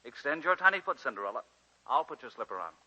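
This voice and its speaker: An actor playing a brash, playful young suitor, teasing and theatrically gallant, in a 1940s radio drama. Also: male voice, medium pitch, very animated, fast talker, pushed and bright, exaggerated voice. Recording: dull vintage 1940s radio recording.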